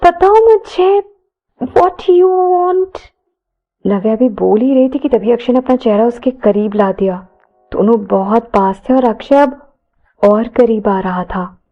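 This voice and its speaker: A woman's voice: medium pitch, normal speed, highs cut off. A woman storyteller narrating a romance story in a dramatised style.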